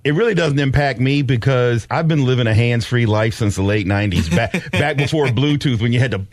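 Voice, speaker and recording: A man's voice: mid-range voice, fairly quick, dry recording. A man hosting sports talk radio, giving opinionated, conversational, unscripted commentary. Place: dry radio studio.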